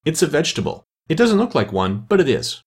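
A prim, earnest little storybook girl, played by a man, with a raised pitch and very lively intonation. She is polite and questioning. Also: fairly quick, husky, full character voice. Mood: cautious, earnest. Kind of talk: storybook character reading.

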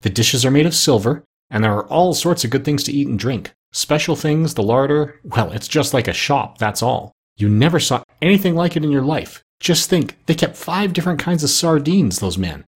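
A man voicing an excited, chatty female duck who gushes breathlessly. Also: medium pitch, slightly rough. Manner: breathless, gushing.

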